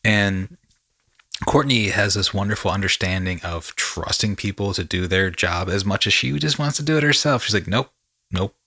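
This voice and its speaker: A man's voice: fairly low pitch, normal speed, bright, forceful tone, no room sound, clean studio sound. A man hosting a casual podcast conversation, talking with rambling enthusiasm and often repeating his phrases. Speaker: podcast host and actor.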